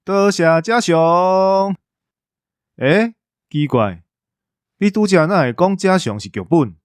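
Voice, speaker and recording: A man's voice: sits high, lively intonation, slow pace, no echo. A man with a Taiwanese accent co-hosting with casual comic banter, playfully whining and complaining. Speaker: co-host.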